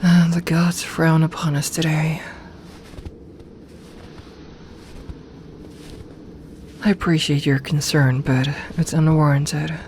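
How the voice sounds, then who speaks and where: A woman playing an imperious serpent queen in an ASMR audio roleplay, speaking formally and with measured dignity, commanding yet tender toward her companion. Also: deep voice, little pitch movement, a little mellow, close to an everyday voice. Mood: wry, proud. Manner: regal, formal, measured speech. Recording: ambient noise behind the voice.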